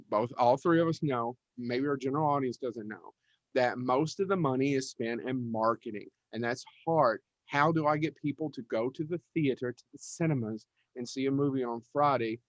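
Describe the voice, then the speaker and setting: A man's voice: medium pitch, no room sound, highs cut off. A man co-hosting a casual podcast panel, talking in an emphatic, rambling, informal way. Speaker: podcast co-host.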